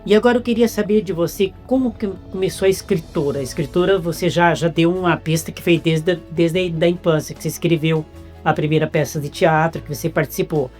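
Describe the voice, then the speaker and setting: A man's voice: a little high, fairly quick, faint music behind. A man hosting a casual interview, asking chatty follow-up questions and praising his guest.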